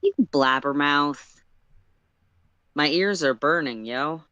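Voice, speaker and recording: A performer voicing a guarded, slangy teenage boy with a deadpan, evasive manner in a fan fiction reading. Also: medium pitch, slow, smooth, no room sound, muffled recording. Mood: deadpan, teasing.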